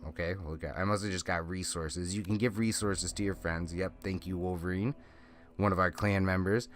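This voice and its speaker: A man's voice: deep voice, steady tone, moderate pace. A man with a slightly nasal, congested voice giving rambling, casual walkthrough commentary on a video game as a channel host, often correcting himself. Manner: rambling, self-correcting explanations. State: congested with a lingering cold. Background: faint music.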